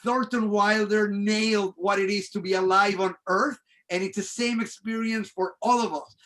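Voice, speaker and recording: High-voiced man. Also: fairly even intonation, pushed and bright. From Venezuela. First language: Spanish. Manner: halting, many restarts and fillers. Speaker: playwright and director. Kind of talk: panel discussion.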